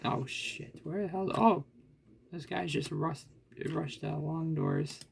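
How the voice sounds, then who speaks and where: A man's voice: a little high, steady tone, takes it slowly, gravelly, slight background noise. A man casually narrating his own computer tinkering and gameplay, thinking aloud and often restarting his sentences. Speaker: hardware hobbyist vlogger. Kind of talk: casual self-narrated screen recording.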